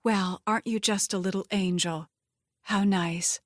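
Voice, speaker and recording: A woman's voice: medium pitch, steady tone, measured pace, dry recording, studio quality, close to an everyday voice. A woman voicing a scheming, threatening female crook in an audiobook, with a taunting, condescending manner. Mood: suspicious, sarcastic. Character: scheming, threatening, vain woman crime boss's underling.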